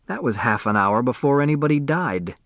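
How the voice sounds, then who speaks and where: A man voicing a gruff county sheriff in an audiobook, suspicious, irritated and grumbling. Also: mid-range voice, expressive, brisk, a bit bright, dry recording, clean studio sound.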